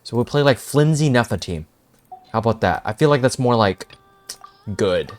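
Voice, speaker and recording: A man's voice: mid-range voice, lively intonation, normal speed. A young man giving casual, slangy live commentary as he reacts to a video game. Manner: informal, slangy. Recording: game music faint behind.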